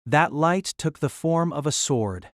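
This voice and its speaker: A man narrates an audio drama from a script. His voice sits fairly high for a male, and the sound is close and dry. The delivery is dramatic storytelling. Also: expressive, a little slow, fairly bright tone, no room sound.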